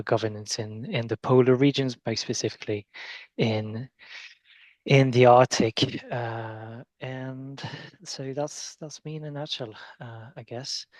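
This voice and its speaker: A man from Belgium, an international lawyer, speaking on a panel over a video call in a halting way with many restarts. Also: mid-range voice, no echo. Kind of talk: webinar panel introduction.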